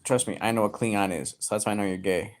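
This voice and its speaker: Man, early 30s, casual livestream chat, crude jokes, loud bursts. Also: lowish voice, fast talker. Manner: profane, joking, loud outbursts.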